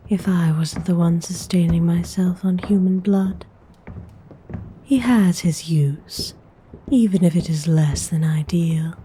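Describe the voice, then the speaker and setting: A woman playing a courteous, commanding vampire noblewoman in an ASMR roleplay, speaking in a soft, mostly whispered voice with genteel, formal phrasing. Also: fairly low pitch, a little hiss or hum. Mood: coolly reassuring. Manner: genteel, unhurried, formal wording.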